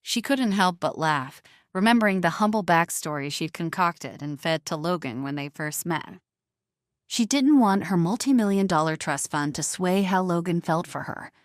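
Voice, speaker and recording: A woman's voice: fairly low pitch, expressive, normal speed, dry recording, clean studio sound. A woman narrating a scripted drama story in a dramatic style.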